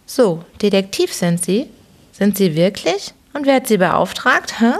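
A woman voicing a miserly, whining old man with a sharp, firm tone in an audiobook, firing off querulous questions. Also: mid-range voice, very animated, unhurried, voice pushed up. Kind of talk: audiobook character reading.

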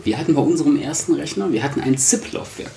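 A man in his late thirties in casual, joking banter, teasing and often halting as he speaks. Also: fairly high pitch, lively intonation, vocal fry, dark tone, a little hiss or hum. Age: late 30s. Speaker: podcast co-host. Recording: roomy, echoing sound.

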